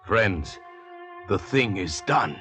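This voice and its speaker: A man's voice: deep voice, big pitch swings, extremely slow, a bit bright, soft background music, heavily acted. A male actor in a 1940s radio drama playing a suave, cunning doctor and murderer on the run, with a courtly, formal manner. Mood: suave, self-satisfied.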